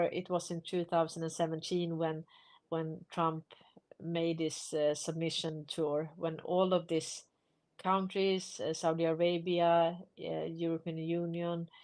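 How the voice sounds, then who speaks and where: A woman from Sweden with a slightly low voice and a Swedish accent. She is a native Swedish speaker hosting a livestream, talking off the cuff and often restarting as she explains. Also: a little mellow. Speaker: live stream host. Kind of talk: casual unscripted livestream discussion.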